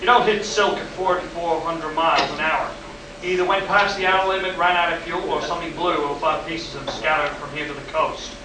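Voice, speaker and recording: An actor in a live amateur radio play, playing a gruff, anxious, aging chief of flight control. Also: male voice, on the high side, steady tone, fairly quick, natural voice. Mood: weary, guilty. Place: live stage at a convention. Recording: distant, echoey live hall recording with noise.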